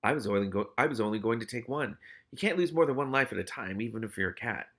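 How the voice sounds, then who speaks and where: A man's voice: mid-range voice, animated, quick pace, a bit bright. A man playing a tall, solemn, dryly puzzled skeletal reaper in a storybook reading, with formal, matter-of-fact speech.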